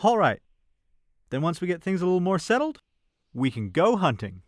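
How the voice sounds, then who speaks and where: A man's voice: high voice, big pitch swings, normal speed, no room sound, studio quality. A man playing an easygoing, helpful adventurer in an audio drama, with casual, friendly phrasing. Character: easygoing, helpful male adventurer and camp cook.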